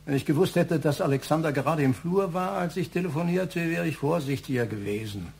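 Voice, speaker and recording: A reproachful older dad in a radio play, stern, highish voice, bit of hum. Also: male voice, a little fast, vocal fry, a little mellow. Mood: stern regret. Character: stern, reproachful older male family patriarch. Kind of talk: German radio crime drama acting.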